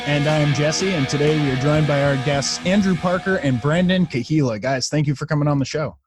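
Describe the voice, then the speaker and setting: A man's voice: fairly high pitch, a little fast, music in the background. A man hosting a podcast in a casual interview style. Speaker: podcast host.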